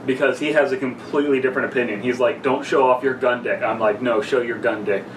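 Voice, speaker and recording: A young man hosting a podcast in casual banter, crude and jokey, telling stories excitably. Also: medium pitch, quick pace. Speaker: podcast host. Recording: roomy, distant mic, clear background noise.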